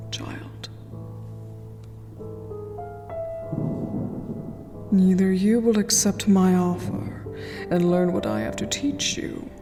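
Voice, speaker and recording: A woman playing a cold, stern vampire queen in a fantasy ASMR roleplay, regal and commanding. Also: lowish voice. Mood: scornful, threatening. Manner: slow, commanding, breathy whispered delivery. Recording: long hall reverb, music underneath.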